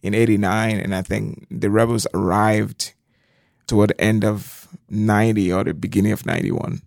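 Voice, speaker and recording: A man's voice: on the low side, steady tone, moderate pace, gravelly, a little mellow, dry recording, clean studio sound. A middle-aged man from Liberia, explaining things conversationally as an interview guest. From southeastern Liberia.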